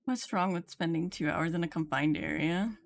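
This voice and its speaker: A woman's voice: lowish voice, moderate pace, creaky. A woman streamer giving casual, playful and teasing commentary while she plays a game. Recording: game music under the voice. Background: game music.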